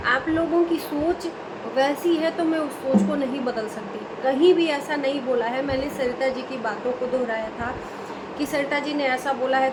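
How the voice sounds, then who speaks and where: A woman hosting a reaction video, giving casual commentary in a somewhat halting way with repeated phrases. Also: high-pitched. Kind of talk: casual commentary on online personalities. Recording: clear steady room hum and noise.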